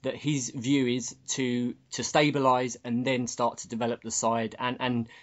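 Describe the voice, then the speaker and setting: A man's voice: medium pitch, flat delivery, moderate pace, highs cut off. A man hosting a casual sports podcast and chatting with his panel. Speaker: podcast host.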